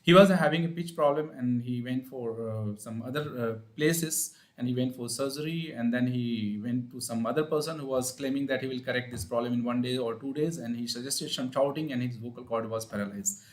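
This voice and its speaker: A man with an Indian accent giving an informal live explanatory talk as a speech therapist, in a halting, repetitive, teacherly manner. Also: medium pitch. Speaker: speech-language pathologist hosting an advice livestream.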